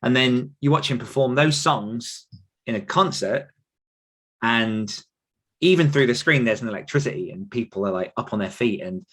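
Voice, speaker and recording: A man's voice: mid-range voice, moderate pace, a bit bright. A British man in his thirties talking casually and reflectively as an interview guest, wandering as he tells his stories. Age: 30s. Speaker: podcast guest, author.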